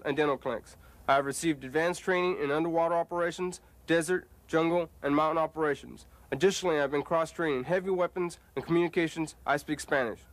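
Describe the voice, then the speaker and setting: A man's voice: on the high side, a bit bright, poor audio. A man serving as an army medical sergeant, reciting a formal scripted introduction of his duties.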